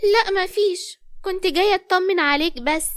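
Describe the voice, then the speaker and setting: A woman's voice: very high voice, normal speed, pitched above the performer's own voice. A woman voicing a spoiled, clingy, scornful young woman, whiny and insistent, with an Egyptian accent. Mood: wheedling.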